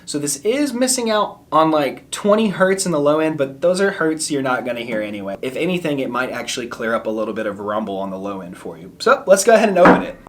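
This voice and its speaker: A man's voice: medium pitch, very animated, fast talker, hardly any pauses, a little hiss or hum. A young man, a musician, casually reviewing a microphone in an upbeat, chatty way and explaining the tech terms plainly. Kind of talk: casual product review vlog. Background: background music.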